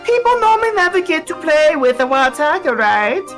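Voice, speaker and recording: A woman's voice: high-pitched, sing-song, moderate pace, a bit bright, faint music behind, pitched above the performer's own voice. A woman voicing a bubbly, teasing circus animal tamer. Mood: cheerful. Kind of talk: voicing game dialogue on a live stream.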